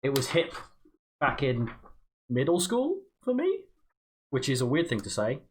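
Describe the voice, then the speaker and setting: A man's voice: mid-range voice, wildly swooping pitch, measured pace, a little hiss or hum. A young man from the United States and Britain co-hosts a casual livestream, talking himself through what he is doing. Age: young adult. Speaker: co-host.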